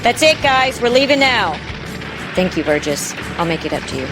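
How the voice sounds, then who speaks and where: A woman's voice: on the high side, big pitch swings, moderate pace, rough recording. An actress playing a determined female leader of fleeing survivors in an audio drama. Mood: hurried, grateful. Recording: loud music and vehicle noise behind.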